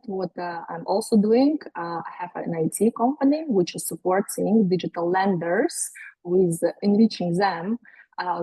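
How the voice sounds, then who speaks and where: A woman's voice: fairly low pitch, gravelly, warm tone, slightly rough, dry recording, rough recording. A woman from Latvia, explaining matter-of-factly as a guest on a webinar over a video call. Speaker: guest speaker. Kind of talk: webinar interview.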